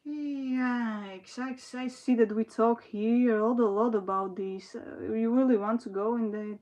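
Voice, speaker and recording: Halting young woman livestreaming. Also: fairly high pitch, steady tone, darkish tone. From Slovakia. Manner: halting, restarts often, frequent "you know". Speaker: livestream host. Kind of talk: casual livestream chat answering viewers.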